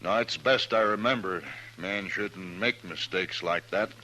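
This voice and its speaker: An American actor playing a gruff, proud, plain-spoken rancher in 1950s radio drama, slow and deliberate. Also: male voice, fairly low pitch, bright, forceful tone. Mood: grave, contrite. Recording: noisy old radio drama recording.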